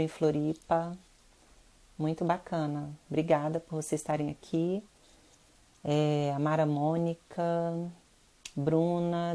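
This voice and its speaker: A woman's voice: deep voice, a little mellow. A woman with a Brazilian Portuguese accent, nasal from rhinitis, hosting a casual live stream about interior design and chatting directly with her viewers.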